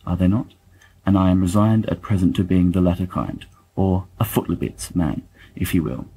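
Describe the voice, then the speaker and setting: Male reader as a fussy, bookish young man in an audio drama; dark, low voice, dryly self-deprecating. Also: husky, poor audio. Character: wry bookish young male letter-writer, fussy and affectionate. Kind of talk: dramatic reading of letters.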